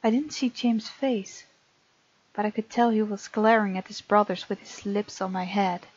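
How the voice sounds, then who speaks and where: A woman gamer reading visual novel narration aloud in an expressive, informal way, with casual commentary of her own. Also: mid-range voice, normal speed, no echo. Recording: muffled mic, game music behind. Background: game music.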